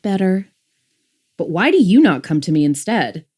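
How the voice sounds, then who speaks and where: A woman acts out a nervous, frail mother from a dystopian audiobook drama. Her tone is mellow and her pitch swings widely. She speaks plaintively, as someone who keeps questioning. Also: big pitch swings, moderate pace. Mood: pleading, insistent. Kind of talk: audiobook full-cast drama acting.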